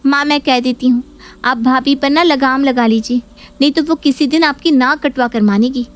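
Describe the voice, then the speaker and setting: A woman's voice: high-pitched, steady tone, normal speed, voice pushed up, close to an everyday voice. A woman voicing a scheming young married daughter who accuses and complains. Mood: indignant. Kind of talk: Hindi audio story acting.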